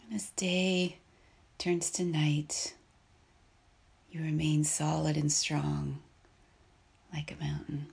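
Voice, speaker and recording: A woman guides a meditation in a low, gravelly voice. She speaks softly and breathily, with a husky edge. Her phrases come very slowly and calmly, spaced out by long pauses. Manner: calm, unhurried, measured with long pauses.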